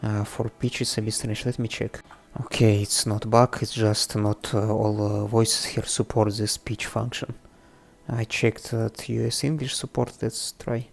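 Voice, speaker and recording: A man presenting a casual, unscripted coding tutorial, thinking aloud haltingly in non-native English. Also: fairly low pitch, little pitch movement, gravelly. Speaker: presenter of a programming tutorial series. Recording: faint background music under the voice. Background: faint music.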